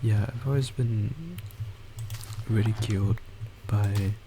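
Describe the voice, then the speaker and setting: Quiet, hesitant young man rambling slowly. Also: lowish voice, background noise. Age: young adult. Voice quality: quiet soft voice. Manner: hesitant, halting, many restarts and fillers. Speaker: college student. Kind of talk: rambling personal monologue. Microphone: desktop microphone with boosted gain.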